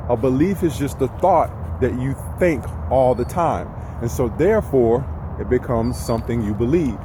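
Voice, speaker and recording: A man giving an informal, reflective motivational talk while walking outdoors, speaking directly to the listener in a conversational way. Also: medium pitch. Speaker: vlogger giving personal development advice. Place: outdoors, walking near traffic. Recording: clear outdoor traffic and street noise.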